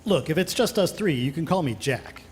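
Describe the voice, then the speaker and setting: An actor playing an easygoing, candid former police sergeant in radio theatre, talking casually and chummily. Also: male voice, on the high side, lively intonation, a little fast, a little mellow, slight background noise, natural voice. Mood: friendly.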